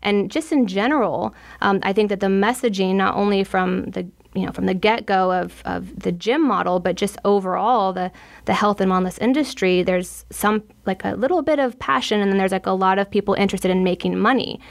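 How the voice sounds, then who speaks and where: A woman's voice: medium pitch, moderate pace, creaky. A woman with a mid-range voice, hosting a podcast interview. She is eager and effusive, and her questions ramble and circle back on themselves. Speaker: podcast host. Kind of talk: casual interview.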